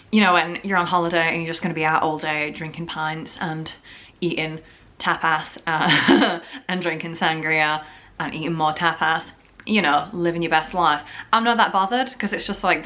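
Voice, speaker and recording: A woman's voice: low voice, bright, forceful tone. A young woman with a slightly sore, scratchy throat, chatting through a storytime vlog at home with lots of "like"s and jokes. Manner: chatty, jokey, full of filler "like"s. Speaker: vlogger. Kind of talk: casual storytime chat.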